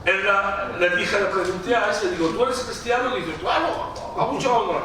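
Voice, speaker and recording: A middle-aged Iraqi-accented man gives an emphatic church talk in a fairly high voice, repeating himself and restarting words. He sounds far from the microphone, and his voice rings through a very echoey hall. Steady background noise runs underneath. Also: normal speed. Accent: Iraqi Arabic. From Iraq. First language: Aramaic. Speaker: guest lecturer. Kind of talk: church lecture.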